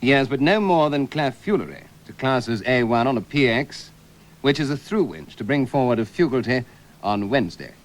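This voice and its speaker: A self-assured, solemn official , played by a male comedian with a medium-pitched voice. He is formal, matter-of-fact and deadpan in the manner of a spoof radio broadcast. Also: moderate pace, lightly acted. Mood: earnest, deadpan.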